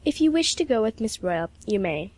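A woman's voice: medium pitch, big pitch swings, darkish tone. Woman playing a stern, proper older aunt. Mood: solemn. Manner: formal, measured.

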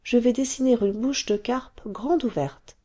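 A woman voicing an excited, curious little cave girl who pleads and chatters. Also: on the high side, measured pace, slightly rough, pitched above the performer's own voice. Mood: eager.

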